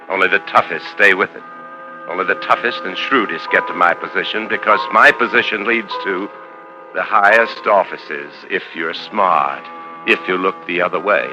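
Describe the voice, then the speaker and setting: An actor playing an ambitious, tormented, possessive husband in a 1950s radio suspense drama, with brooding, intense, clipped delivery. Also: male voice, on the low side, dull audio, close to an everyday voice. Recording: old 1950s radio recording with music.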